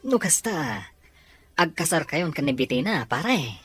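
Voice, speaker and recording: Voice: mid-range voice, very animated, unhurried, a bit bright. A performer acting an easygoing male best buddy in a radio drama. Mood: amused, supportive.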